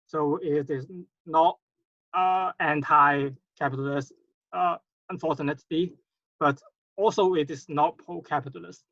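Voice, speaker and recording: Casting: male seminar speaker, gravelly and halting, dull lo-fi dry sound. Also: a little high, steady tone, a bit bright, dry recording. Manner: halting, many fillers and repeated words. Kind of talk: online seminar presentation.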